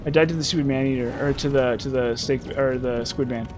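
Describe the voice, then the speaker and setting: A man's voice: medium pitch, steady tone, brisk, over music. A man in his thirties casually chatting and rambling to his viewers while streaming a game. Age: 30s. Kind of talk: casual live-stream chat while playing.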